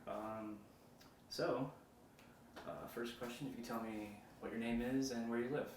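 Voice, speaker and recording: A man's voice: mid-range voice, fairly even intonation, moderate pace. Guy doing a casual documentary interview, says like constantly, sounds far off and roomy with noise. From upstate New York. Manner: loose and rambling, says like a lot. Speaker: interviewer and one-man film crew. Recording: echoey, distant, noisy room.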